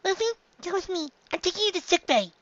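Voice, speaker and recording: A woman's voice: very high-pitched, lively intonation, fast talker, a bit bright, dry recording, muffled recording, pitched above the performer's own voice. A woman voicing a small, caring but firm reindeer doctor in a story reading, speaking earnestly and emphatically.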